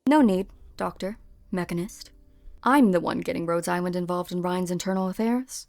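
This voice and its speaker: Fan-dub casting: a woman as a low, gravelly-voiced young defense director, formal and dutiful. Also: moderate pace, darkish tone, no room sound, close to an everyday voice. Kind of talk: amateur fan dub acting.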